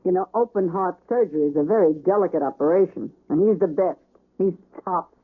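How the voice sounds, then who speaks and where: Gossipy easygoing nurse, old radio drama, curious, thin phone-like sound. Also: female voice, lowish voice, brisk, breathy edge. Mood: chatty. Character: chatty, curious, frank female hospital nurse. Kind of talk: 1970s radio drama acting. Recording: tinny old radio broadcast recording.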